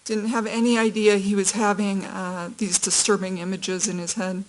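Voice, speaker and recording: A woman attorney questioning a witness in a courtroom in a measured, gentle way. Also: mid-range voice, level tone, low-quality recording.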